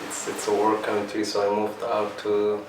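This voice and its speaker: A young man from Somalia speaks in a low, gravelly voice that stays almost flat in pitch. He tells his story haltingly, restarting as he looks for words. The room echoes and the mic sits a bit far off, with faint hiss. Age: young adult. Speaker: interviewee. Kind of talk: personal storytelling interview. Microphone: set up mic in room.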